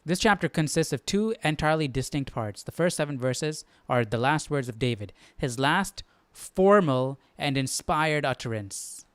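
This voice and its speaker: A man's voice: fairly high pitch, animated, moderate pace, hardly any pauses, dry recording. A man hosting a live Bible study, chatting informally and thinking aloud. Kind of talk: casual livestream chat.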